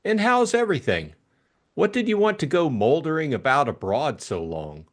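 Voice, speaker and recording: A man's voice: fairly high pitch, big pitch swings, normal speed, pitched above the performer's own voice. A man voicing a chatty, eager, simple-hearted young upper-class Englishman in an audiobook, gushing and rambling in slangy talk. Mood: eager, delighted. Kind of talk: audiobook character acting.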